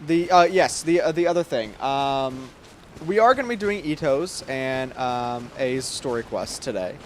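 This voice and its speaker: A man's voice: medium pitch, lively intonation, unhurried, a little hiss or hum. A man chatting casually to livestream viewers in a rambling, slangy way. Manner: rambling, informal slangy talk. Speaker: game livestreamer. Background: background music.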